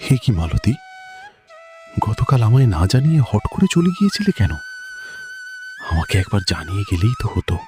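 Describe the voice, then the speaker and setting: A man's voice: mid-range voice, wildly swooping pitch, moderate pace, pauses often, a little mellow, soft background music. A man playing a proud, insistent young landlord's heir in a cartoon, speaking in a theatrical, emphatic way. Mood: flustered. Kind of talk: dramatic cartoon voice acting.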